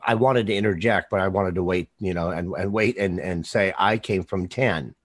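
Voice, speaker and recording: A man from the American Midwest hosting an interview, talking casually and haltingly, with lots of fillers and sentences that trail off. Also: sits low, no echo, clean studio sound. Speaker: podcast host.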